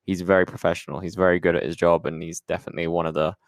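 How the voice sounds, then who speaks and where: A man's voice: sits low, flat delivery, rapid-fire, no echo, studio quality. A man hosting an interview podcast, affirming his guest in an upbeat way and asking questions. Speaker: podcast host.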